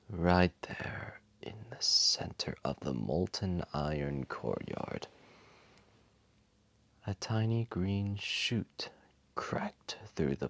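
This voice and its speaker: Wise old dragon telling a tale, husky and whispery, super close dry sound, gentle and solemn. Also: male voice, low voice, no echo, heavily acted. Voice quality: gravelly, breathy, half-whispered. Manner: solemn, deliberate, tender. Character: ancient, massive, wise dragon, gentle and remorseful. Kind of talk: slow dramatic storytelling to a single listener.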